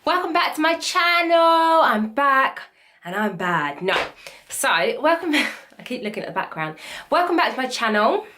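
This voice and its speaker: A woman's voice: high voice, big pitch swings, moderate pace, sharp tone. A woman, chatting candidly to camera in her bedroom with lots of "like". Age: 20s. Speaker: vlogger. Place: small bedroom indoors. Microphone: camera at desk distance.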